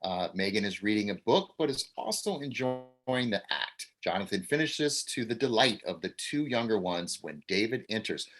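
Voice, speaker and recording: Man narrating stage directions, conversational, lively pitch, some uhs. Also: moderate pace, few pauses. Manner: plain, with frequent uhs. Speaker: acting teacher reading stage directions. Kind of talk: reading stage directions aloud. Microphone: video call mic.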